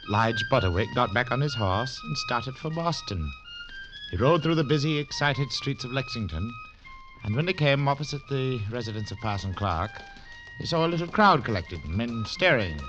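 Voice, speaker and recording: A man's voice: medium pitch, wildly swooping pitch, moderate pace. A man narrating a 1940s radio adventure with a storytelling delivery. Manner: storytelling, dramatic swings. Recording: dull old radio recording with music.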